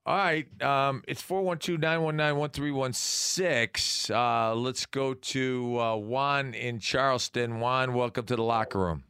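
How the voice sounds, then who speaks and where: An American man hosting a sports radio show, explaining football tactics in a casual, halting, coach-like way. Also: mid-range voice, steady tone, sharp tone, clean tone, dry recording, studio quality.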